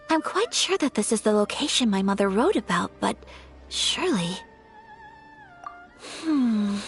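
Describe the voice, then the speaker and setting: A thoughtful young lady adventurer from a video game, with a fairly high voice. She speaks politely and with measured care, and music plays behind her. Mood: puzzled, pensive. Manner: measured, polite, carefully articulated. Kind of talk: English video game voice acting.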